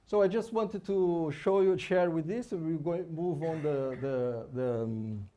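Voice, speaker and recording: A man's voice: on the high side, measured pace, warm tone. A man from Brazil with a Brazilian Portuguese accent, speaking English as a conference speaker on fetal hearts, informal and full of restarts and tag questions. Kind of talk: conference lecture with live scan.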